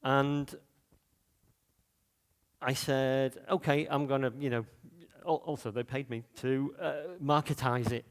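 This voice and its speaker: A man's voice: medium pitch, fairly even intonation, takes it slowly, smooth, in a large hall. A man with a Manchester accent giving a conference talk in a loose, chatty way, with many fillers and restarts. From Manchester, England. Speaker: conference speaker.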